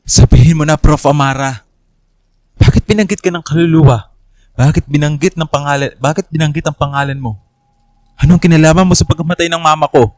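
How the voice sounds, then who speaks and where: A man's voice: on the high side, fairly quick, a little mellow, dry recording, clean studio sound, close to an everyday voice. An actor playing an emotional young man haunted by a cursed third eye in a radio horror drama. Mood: accusing. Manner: emotional, pleading, dramatic delivery.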